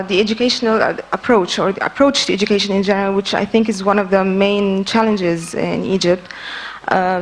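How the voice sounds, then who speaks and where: A woman in the audience asking a long formal question at a panel, pausing often with fillers. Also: medium pitch, low-quality recording. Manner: formal, hesitant with many fillers.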